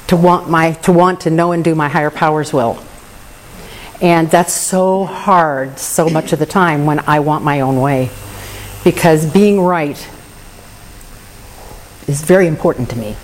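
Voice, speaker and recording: A woman's voice: lowish voice, a little mellow, slight background noise, poor audio. Earnest woman sharing in recovery. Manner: candid, earnest, self-deprecating humor. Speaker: recovery meeting member. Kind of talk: personal recovery share.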